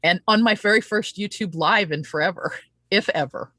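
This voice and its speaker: A woman's voice: medium pitch, normal speed, bright, forceful tone, no room sound. A woman hosting a casual promotional live-stream interview, speaking in an earnest, chatty and rambling way. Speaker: host of an online interview show.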